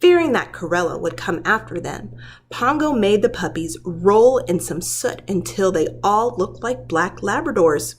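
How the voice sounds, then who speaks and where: A woman who works as a preschool teacher, reading a children's story aloud in a dramatic, deliberate storytelling manner. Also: medium pitch, lively intonation, breathy edge.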